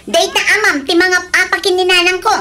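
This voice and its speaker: A woman's voice: very high-pitched, fairly even intonation, a little fast, pushed and bright. A woman voicing an indignant, quarrelsome youngster with an Ilocano accent in a comedy radio drama.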